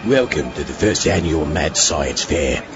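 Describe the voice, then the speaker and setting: Guy as low voiced exasperated greeter, rote spiel, muffled, noisy behind. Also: very animated, unhurried, full character voice. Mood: rote, weary. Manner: rote, long-suffering. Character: bored, exasperated male greeter reciting a rote welcome. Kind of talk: comedic audio drama acting. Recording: muffled lo-fi, background noise.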